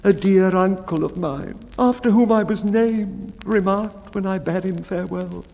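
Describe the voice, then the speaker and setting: A man voicing a timid, mournful baker in a dramatic poetry reading, with a tearful, drawn-out lament. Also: high-pitched, vocal fry, darkish tone, big-room sound, pitched above the performer's own voice. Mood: plaintive.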